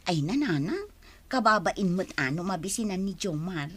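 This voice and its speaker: An actress playing a coy, cheerful young working daughter in a radio drama, with an Ilocano accent. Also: female voice, fairly low pitch, expressive, normal speed, slightly rough. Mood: coaxing.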